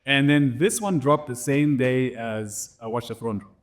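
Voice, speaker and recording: A man with a faint German accent talks about records as a guest in a relaxed interview. He rambles, restarts his sentences and keeps checking in with the listener. His voice sits at an ordinary middle pitch. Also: unhurried. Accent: slight German. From Germany and Namibia. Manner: rambling, many restarts and "right?" tags. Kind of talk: casual interview storytelling.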